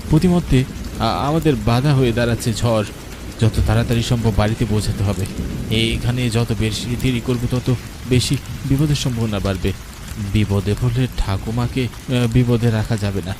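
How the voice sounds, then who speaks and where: A man's voice: medium pitch, normal speed, background noise, natural voice. A man voicing an anxious young grandson who narrates his flight in a horror cartoon, tense and dramatic. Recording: music and rain behind.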